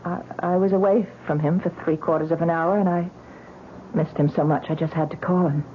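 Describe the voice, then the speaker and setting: A woman's voice: low voice, steady tone, a little fast, phone-quality sound, slight background noise, lightly acted. An actress playing an anxious woman desperate to be believed in a vintage radio drama, earnest and wounded, by turns hesitant and emphatic. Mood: weary, defensive. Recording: band-limited old radio recording with music.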